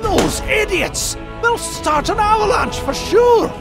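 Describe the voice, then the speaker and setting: An exasperated cartoon duck prospector, pitched very high and swinging widely. He stretches his exclamations slowly over a loud music bed. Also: lively intonation, full character voice. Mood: urgent. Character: scrappy, exasperated male duck prospector of the Klondike. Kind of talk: cartoon comic dub acting.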